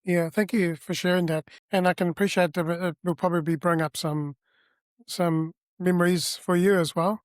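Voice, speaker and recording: A man hosting a podcast, reading a guest introduction and asking questions in an earnest, slightly halting way. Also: high-pitched, steady tone, dry recording, clean studio sound. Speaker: podcast host. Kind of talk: scripted introduction then interview questions.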